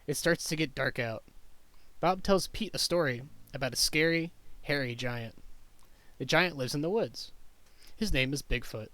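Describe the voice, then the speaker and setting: A man's voice: a little high, moderate pace, long pauses, sharp tone, no echo. Man reading a picture book, dry close sound. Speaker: teacher reading aloud to kindergarteners. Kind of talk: children's picture book read-aloud.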